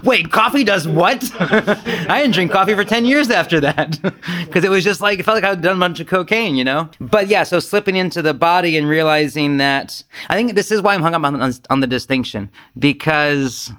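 A man's voice: sits high, normal speed, fairly bright tone. Casual, self-deprecating man leading discussion. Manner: informal, self-deprecating anecdotes. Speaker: Zen group discussion leader.

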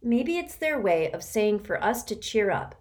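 A woman's voice: medium pitch, big pitch swings, measured pace, pitched above the performer's own voice. A woman reading an audiobook, voicing a nervous, earnest young boy who frets and questions. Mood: anxious. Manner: anxious, fretful questioning. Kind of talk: audiobook character voice.

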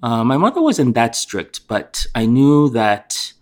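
A man from New York by way of Korea and England, giving halting, reflective answers as an interview guest. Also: medium pitch, very animated. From born in Korea, raised in England and New York.

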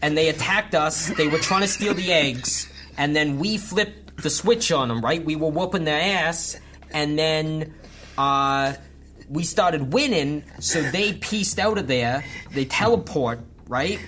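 A player in a tabletop roleplay, talking excitably in long rambling runs. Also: medium pitch, unhurried, slight background noise.

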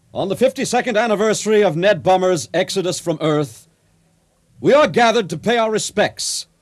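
A pompous, folksy speechmaker in a radio play. His voice sits a little high and swings widely in pitch as he holds forth theatrically about a spacefaring age. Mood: grandly ceremonial. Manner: grandiloquent, theatrical delivery. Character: pompous, folksy ceremonial orator of a spacefaring future. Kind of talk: comic speech in a radio drama.

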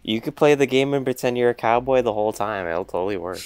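A man's voice: medium pitch, animated, quick pace, clear, resonant tone, no echo. A man in his twenties co-hosting a casual podcast with dry, teasing humor. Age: 20s. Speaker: podcast co-host.

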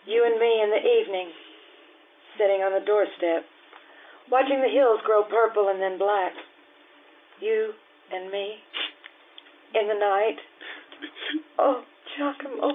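A woman's voice: high-pitched, a little hiss or hum. A woman playing an earthy, passionate cook in love, in old-time radio drama style, with a thin phone-like sound. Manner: pleading, then tender and resigned. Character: Anna, earthy, passionate cook woman pleading with her lover.

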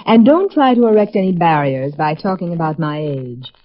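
Low-voiced, seductive college temptress. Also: female voice, lively intonation, normal speed. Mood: flirtatious. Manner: flirtatious, cutting, self-assured. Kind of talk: 1950s American radio drama acting. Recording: noisy, muffled vintage radio recording.

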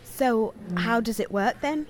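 A woman's voice: fairly high pitch, measured pace, a little hiss or hum, natural voice. An actress playing a hopeful, blunt, determined young homeless woman with a British accent in an audio play. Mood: polite, uncertain. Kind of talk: audio play dialogue and narration.

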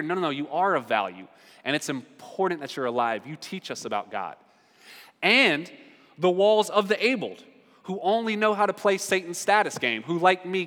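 A man's voice: fairly high pitch, a bit bright. A man preaching a sermon as a pastor, earnest and building in force at key points. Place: church sanctuary. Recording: long reverb of a large hall.

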